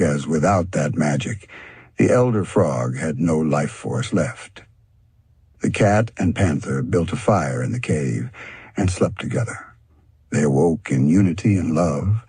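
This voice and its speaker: Deep, very husky male storyteller. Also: a little mellow, dry recording. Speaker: audiobook narrator. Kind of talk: scripted storybook narration.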